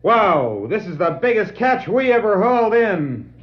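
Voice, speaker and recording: An actor playing an eager, wisecracking teenage sidekick in a 1960s superhero record drama. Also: male voice, high-pitched, big pitch swings, unhurried, muffled recording. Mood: cheeky. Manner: exclamatory, wisecracking. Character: eager, cheeky teenage boy sidekick hero. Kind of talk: 1960s radio-play superhero acting.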